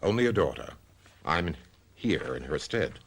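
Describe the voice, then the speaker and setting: An actor in a radio drama playing a suave society escort who speaks in a grave, deliberate manner. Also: male voice, extremely low pitch, big pitch swings, husky, exaggerated voice. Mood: reflective. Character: sombre, suave male escort of an older socialite. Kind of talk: golden-age style radio drama acting.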